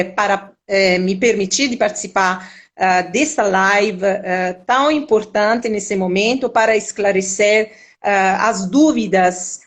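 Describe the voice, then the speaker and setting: An Italian-accented woman talking over a video call, choosing formal words and pausing often with filler sounds. Her voice sits at an ordinary middle pitch. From Italy. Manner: hesitant, many filler sounds, formal wording. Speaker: union vice-president and professor. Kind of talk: live-stream conversation.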